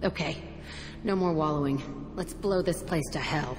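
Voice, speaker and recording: Actress as bitter young female fighter, low-pitched, profane, defiant, video game cutscene, cavernous echo. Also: moderate pace, music in the background, natural voice. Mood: bitter, haunted. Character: hardened, foul-mouthed young female biotic ex-prisoner, angry and wounded. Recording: echoey hall, clear background noise.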